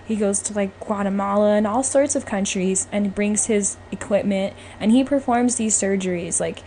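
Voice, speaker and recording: A woman's voice: medium pitch, moderate pace, warm tone. A woman hosting a podcast, talking casually and emphatically as she gives her opinions. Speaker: podcast host. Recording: clearly noisy background.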